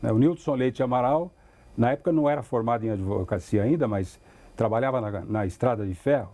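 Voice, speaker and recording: A man's voice: medium pitch, fairly quick, mellow. An older man with a Brazilian accent, a veteran radio reporter reminiscing in an interview.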